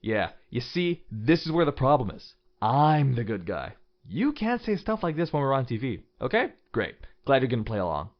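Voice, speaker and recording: A man's voice: mid-range voice, very animated, normal speed, close to an everyday voice. An actor playing a smug, scheming president in an audio drama, theatrical, condescending and mock-friendly as he poses as the heroic saviour. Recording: dull sound under loud music.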